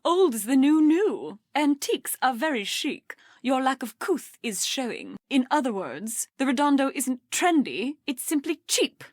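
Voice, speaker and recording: Haughty society lady, higher-pitched. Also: sing-song, a little slow, few pauses, no room sound, studio quality, exaggerated voice. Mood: condescending. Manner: snobbish, affected, condescending. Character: haughty, wealthy society lady, catty and condescending. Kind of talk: scripted game voice acting, gossipy chat.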